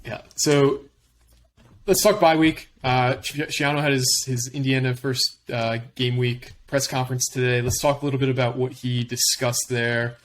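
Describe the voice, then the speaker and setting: A man's voice: medium pitch, flat delivery, normal speed, slight background noise. A man hosts a casual sports podcast, leading the chat and ribbing his co-hosts. He keeps the talk loose, jokey and teasing. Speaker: podcast host.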